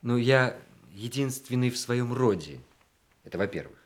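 An actor in a radio play, playing a wry, self-mocking army senior lieutenant who regrets lost love. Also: male voice, medium pitch, expressive, normal speed, close to an everyday voice. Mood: teasing.

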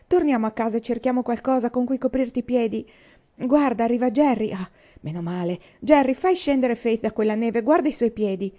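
A woman's voice: mid-range voice, fairly quick, dark tone, highs cut off, natural voice. A woman reading aloud voices an earnest, worried little girl who pleads with her sister. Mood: pleading.

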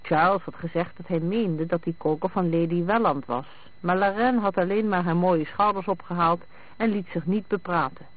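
High-voiced man narrating, thin phone-like sound. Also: steady tone, moderate pace, no echo. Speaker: audiobook narrator. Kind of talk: scripted narration of a detective novel with acted dialogue. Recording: thin, phone-like band-limited radio sound.

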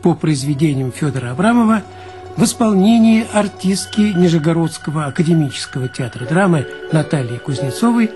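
A man reading scripted closing credits as a radio announcer, formal and measured. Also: fairly high pitch. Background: music under the voice.

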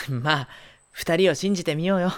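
An actor playing a mild, good-natured teenage boy in an anime audio drama, soft-spoken and gently teasing. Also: on the high side, moderate pace, natural voice.

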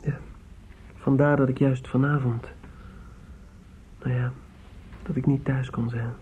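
An actor playing an earnest, respectful young son in a radio drama, speaking hesitantly and deferentially. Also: male voice, mid-range voice, flat delivery, a little slow, darkish tone, natural voice. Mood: nervous, earnest. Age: young adult. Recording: muffled, noisy old radio play recording.

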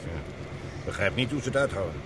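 Genial older gentleman, noisy radio drama. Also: deep voice, animated, fast talker. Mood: musing. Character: genial older titled gentleman, indulgent father. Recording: noisy old radio play recording.

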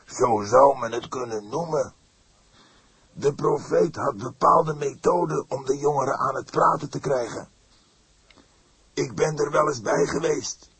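A man's voice: medium pitch, unhurried, a bit bright, pitched above the performer's own voice, rough recording. A man voicing an indignant, solemn father of the church in an audiobook, speaking with emphatic, formal deliberation. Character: fierce, pained elderly pastor, indignant and solemn. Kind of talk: audiobook character voice.

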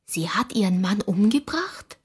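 An actress playing a worried, forgiving young daughter in a radio drama, with a soft, breathy voice. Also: female voice, medium pitch, very animated, unhurried, exaggerated voice. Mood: anxious questioning.

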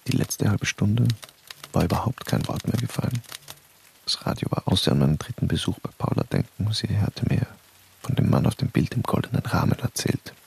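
In a low gravelly voice. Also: male voice, a little fast, slight background noise. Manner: calm, understated storytelling. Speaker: first-person narrator. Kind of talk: radio drama narration.

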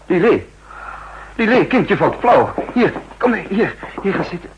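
An actor playing an intense, guilt-ridden double agent who narrates his own downfall in a 1960s Dutch radio drama, with a husky voice and deliberate dramatic delivery. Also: high voice, sing-song, lo-fi sound, noisy background. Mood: urgent, emotional. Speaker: lead actor and first-person narrator.

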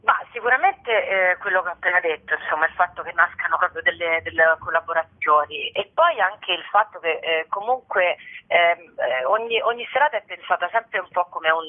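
A woman chatting informally and jokily as an interview guest over the telephone. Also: on the low side. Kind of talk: casual interview chat. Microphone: telephone call. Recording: muffled narrowband phone line.

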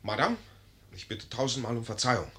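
An actor playing a foolish, indignant young lieutenant with a blustering, overblown manner in a 1950s German radio play. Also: male voice, medium pitch, a little fast, sharp tone, lightly acted. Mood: wounded, pompous.

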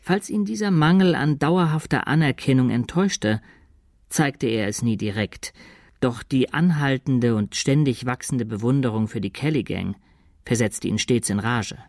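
A man's voice: medium pitch, moderate pace, a little mellow, no room sound, natural voice. Actor as a dry-sounding, detached male chronicler. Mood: sober, detached. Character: sober male chronicler reporting an outlaw's aftermath. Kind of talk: scripted radio drama narration.

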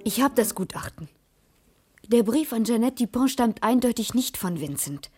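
An actress playing a sharp, insistent female private detective who probes and reasons, in a German radio crime drama. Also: fairly high pitch, expressive, normal speed, soft background music, lightly acted. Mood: assertive. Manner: probing, insistent.

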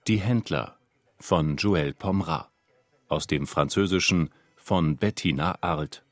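A man's voice: sits low, slow, dry recording. A man reading a scripted title announcement for a radio drama.

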